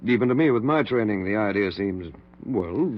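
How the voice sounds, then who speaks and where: An actor playing a cautious, skeptical middle-aged surgeon in a 1940s radio drama, hesitant and measured. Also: male voice, fairly low pitch, lively intonation, close to an everyday voice. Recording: dull 1940s radio recording.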